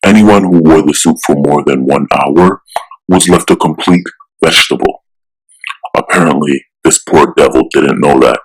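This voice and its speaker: A man voicing an arrogant, menacing billionaire villain in a pompous, deliberate, threatening way for a comic-book drama. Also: rumbling low, slightly rough, full character voice, low-quality recording. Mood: smooth, dismissive.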